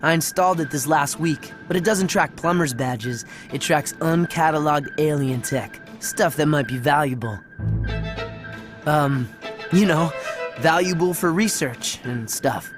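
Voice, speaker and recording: A man's voice: fairly high pitch, measured pace, close to an everyday voice. Guy voicing a cocky teen car guy, kinda dry and blunt, game music under. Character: cocky teen boy mechanic, guarded and blunt. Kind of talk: video game cutscene acting.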